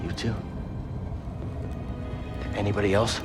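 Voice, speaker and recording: A man's voice: fairly low pitch, steady tone, slow. A man playing a wary, earnest young fugitive in a game, with a guarded, questioning manner. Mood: doubtful. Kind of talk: cinematic game acting. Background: background music.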